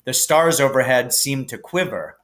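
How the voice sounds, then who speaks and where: A man reading a children's novel aloud as an expressive storybook narrator. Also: medium pitch, moderate pace.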